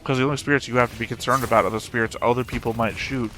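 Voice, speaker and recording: A man with an average-pitched voice reacts casually to a show as he watches. He makes wry, nitpicking remarks in a conversational way, with music from the show playing behind him. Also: fairly even intonation, very fast, fairly bright tone. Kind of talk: casual reaction commentary over an animated show. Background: soundtrack of the show being watched.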